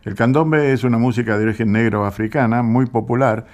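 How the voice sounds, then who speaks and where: A man, speaking Spanish as a radio music commentator, explaining in an unhurried way with small hesitations. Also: medium pitch, fairly even intonation, a little mellow. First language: Italian.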